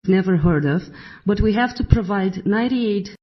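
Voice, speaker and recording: Blunt, husky voice with a foreign accent. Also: medium pitch, lively intonation, moderate pace, highs cut off. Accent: non-native English accent, not Russian. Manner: blunt, exasperated, lecturing. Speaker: speaker on a transcription test recording. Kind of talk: scripted monologue.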